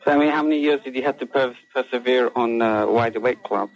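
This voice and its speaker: A man's voice: medium pitch, quick pace. A man phoning in to a radio show, chatting casually and asking questions. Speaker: radio phone-in caller. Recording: dull lo-fi radio call.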